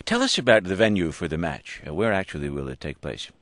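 A man hosting a public radio interview, with a wry manner and drawn-out emphasis on words. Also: deep voice, sing-song, a bit bright, no echo. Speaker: radio host.

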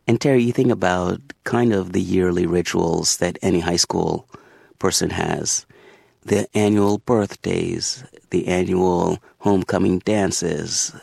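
This man's voice sits very low and grinds with heavy fry. It has a husky edge, and the sound is close and dry. He answers interview questions in a slow, thoughtful, deliberate way. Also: darkish tone, no room sound. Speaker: author and interview guest.

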